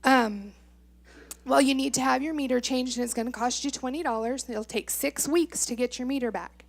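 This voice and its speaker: A woman's voice: high-pitched, moderate pace. A middle-aged American woman giving informal testimony as a resident at a public meeting, exasperated and sarcastic, acting out exchanges as she goes.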